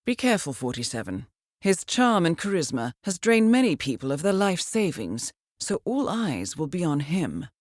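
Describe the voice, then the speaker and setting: An actress playing a cool, composed intelligence handler in a video game, briefing an assassin with articulate dry wit. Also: female voice, fairly low pitch, big pitch swings, normal speed, no echo. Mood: cool, dryly amused. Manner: composed, dryly witty, articulate. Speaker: mission handler.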